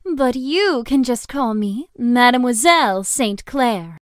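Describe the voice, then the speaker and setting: A woman's voice: fairly high pitch, animated, takes it slowly, no room sound, clean studio sound. A woman playing a haughty, impatient rich young lady in a visual novel.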